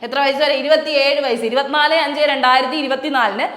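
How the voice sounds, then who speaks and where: Fast, high-voiced Malayali woman tutor. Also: steady tone, a bit bright, roomy sound, in a large hall. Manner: chatty, repeats key facts, asks rhetorical questions. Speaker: exam coaching tutor. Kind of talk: explaining a job notification.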